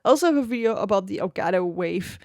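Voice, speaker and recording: Woman, casual gear review, easygoing and laughing, close studio mic, dry sound, mid pitch. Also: lively intonation, fast, a little mellow, dry recording. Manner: chatty and easygoing, with frequent laughs. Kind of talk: casual tech review talk. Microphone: close XLR studio microphone on an arm.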